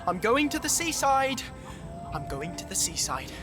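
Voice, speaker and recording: An actor plays a young male woodland animal in a storybook audio drama. He is anxious and fretful yet plucky, speaking in quaint, proper phrases with very animated pitch. Music plays behind him. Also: normal speed, natural voice. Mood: nervous self-reassurance. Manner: fretful, old-fashioned British children's-book diction, sighing.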